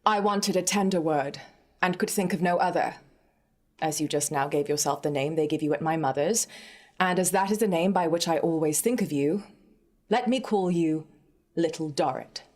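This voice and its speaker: A woman voicing a grave, fatherly gentleman, gentle and courteous, in a dramatic literary reading. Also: sits low, normal speed. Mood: tender.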